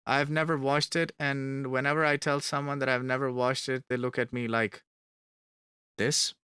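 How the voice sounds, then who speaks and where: A man's voice: medium pitch, flat delivery, normal speed, pushed and bright, clean tone, dry recording, clean studio sound. A man chatting casually on a live stream, reading fan questions aloud and answering them, repeating words for emphasis. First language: Finnish. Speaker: band member streaming to fans.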